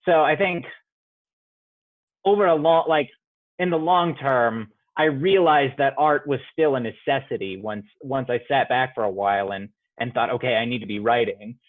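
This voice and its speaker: A man's voice: medium pitch, moderate pace, no room sound. Young guy answering interview questions, lots of um and restarts, sounds like a tinny phone call. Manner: thoughtful, many fillers and restarts. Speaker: playwright, interview guest. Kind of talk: reflective casual interview answers.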